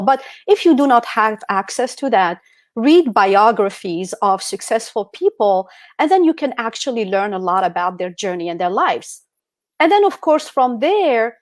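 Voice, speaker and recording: Middle-aged female guest speaker from Algeria, higher register, dry sound, motivational seminar delivery. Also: no room sound. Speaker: guest speaker at an online e-commerce summit. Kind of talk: motivational presentation. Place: indoors on an online video call.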